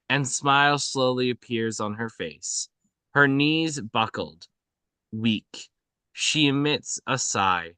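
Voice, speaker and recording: A man reading out screenplay action at a table read in a halting way, often stumbling and correcting himself. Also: medium pitch, pushed and bright, clear, resonant tone, dry recording. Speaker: reader of stage directions. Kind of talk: scripted narration at a table read.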